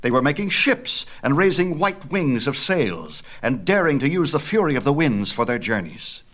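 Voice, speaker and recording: A man reading a myth as a dramatic storyteller, with theatrical, expressive delivery. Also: on the high side, normal speed. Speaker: audiobook reader.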